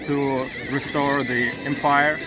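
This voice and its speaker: Belarusian man, slow, halting English. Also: mid-range voice, level tone, rough recording. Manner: hesitant, many fillers and restarts, searching for English words. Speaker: protester being interviewed. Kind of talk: street interview. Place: outdoor street protest. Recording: loud crowd and music outdoors.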